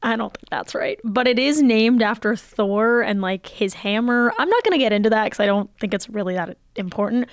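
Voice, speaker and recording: A woman in her twenties co-hosting a podcast, summarizing news in casual chat with dry, deadpan humor. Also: mid-range voice, smooth, no room sound. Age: 20s. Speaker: podcast co-host. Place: small studio.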